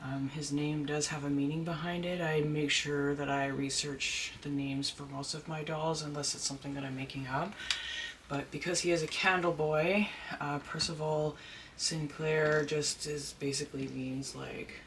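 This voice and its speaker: A deep-voiced person chatting casually while unboxing a doll at home, rambling and gushing with excitement. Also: moderate pace. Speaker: doll collector and vlogger. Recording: noisy home recording.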